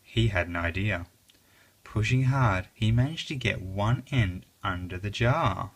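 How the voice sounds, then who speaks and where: A reader narrating a children's story aloud in an expressive, dramatic way. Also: deep voice, a little slow, slightly rough.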